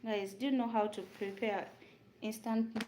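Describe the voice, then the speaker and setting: A young woman with a Ugandan accent talks casually to her viewers, answering questions as she prepares food. Her voice sits at a middle pitch. The home recording carries clear background noise, with crinkling and clicks around her. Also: fairly even intonation, normal speed. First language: Luganda. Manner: chatty, answers viewer questions while cooking. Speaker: vlogger. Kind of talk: casual chat while cooking.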